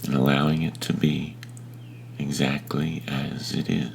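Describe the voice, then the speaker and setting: A man leading a guided meditation in a gentle, unhurried manner with long pauses between phrases. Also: rumbling low, little pitch movement, vocal fry, a little hiss or hum. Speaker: meditation teacher.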